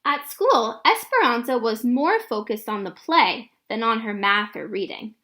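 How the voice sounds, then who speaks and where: A woman narrates a children's storybook aloud. Her voice sits high and moves through a lively, wide range of pitch, in an expressive read-aloud style. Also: unhurried.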